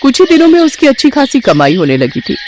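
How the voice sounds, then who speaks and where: A woman's voice: sits high, wildly swooping pitch, fast, darkish tone, slight background noise. A woman reading a scripted moral story as its narrator.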